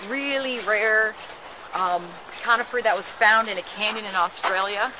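A woman's voice: mid-range voice, unhurried. A woman working as a nursery guide, explaining plants in an informative, enthusiastic walk-and-talk outdoors in a display garden. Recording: outdoor noise on camera mic.

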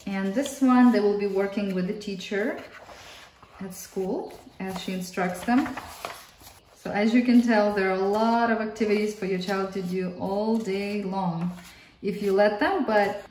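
A woman's voice: mid-range voice, fairly even intonation, a little slow, a little mellow. A woman in her thirties, a Russian native speaker with a Russian accent, explaining homeschool workbooks in a friendly way as a mother and often repeating words for emphasis. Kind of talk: casual workbook flip-through review. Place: indoor room at a table. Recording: roomy, distant mic, faint hiss.

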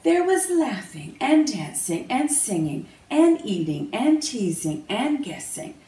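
A woman reading a children's picture book aloud in a measured, expressive storytelling manner. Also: fairly high pitch. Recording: roomy, distant mic, faint noise.

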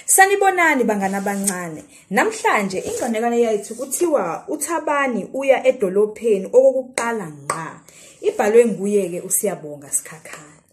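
A middle-aged South African Zulu woman tells a children's story in a medium-pitched voice. She reads expressively and speaks directly to small children, recorded on a phone in a room at home. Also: big pitch swings, normal speed, slight background noise. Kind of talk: expressive read-aloud storytelling for young children.

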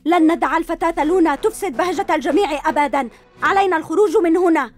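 A wary, earnest little cat-hero boy from a cartoon dub, voiced by a woman. He talks quickly in a very high voice over light music. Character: cautious, proud young cat-hero boy.

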